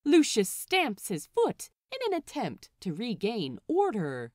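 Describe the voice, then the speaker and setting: A woman narrating a written story aloud in an animated, expressive storytelling style. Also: on the high side, takes it slowly, no echo, clean studio sound. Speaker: podfic narrator.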